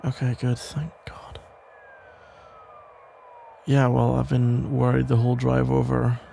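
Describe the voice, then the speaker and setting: Man acting as a gravelly, protective police officer ex. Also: medium pitch, monotone, slight background noise. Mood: concerned, relieved. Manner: halting, casual, gently exasperated. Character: protective, worried male police officer, listener's ex-boyfriend. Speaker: audio roleplay performer. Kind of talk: ASMR roleplay, conversational one-sided acting.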